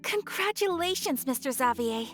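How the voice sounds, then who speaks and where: An actress playing a refined, gentle young noblewoman in a video game, speaking with polite formality. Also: high voice, animated, moderate pace, soft background music. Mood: gracious, fond. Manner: polite, formal, softly enthusiastic. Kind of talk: English video game voice acting.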